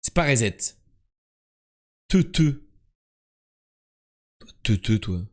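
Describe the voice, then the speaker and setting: A man's voice: medium pitch, sing-song, drawn-out words. A young man from France streaming word and geography games, joking and boasting as he chats with his viewers. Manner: joking, boastful, chatty with the chat. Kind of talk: casual live-stream commentary.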